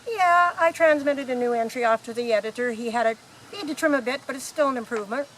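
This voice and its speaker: Woman playing a high-voiced breezy galactic researcher. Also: quick pace, a bit bright. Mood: matter-of-fact. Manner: casual, matter-of-fact explaining. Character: breezy, unflappable alien guidebook researcher, matter-of-fact. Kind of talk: amateur radio play comedy acting. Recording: noisy background ambience.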